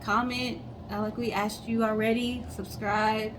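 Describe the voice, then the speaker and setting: A woman's voice: on the high side, level tone, measured pace. Chatty woman, noisy recording. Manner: chatty, conversational, addressing viewers directly. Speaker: co-host of a storytelling guessing show. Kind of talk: casual storytelling chat to viewers.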